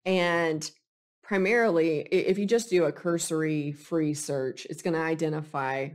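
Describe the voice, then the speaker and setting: Female presenter, lower-pitched voice, measured and deliberate storytelling of a professional case study. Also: steady tone.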